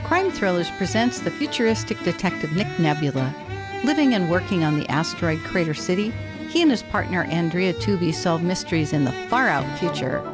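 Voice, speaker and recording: A woman announces a radio drama with a scripted introduction. Her voice is fairly low, and loud string music plays behind her throughout. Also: lively intonation, brisk.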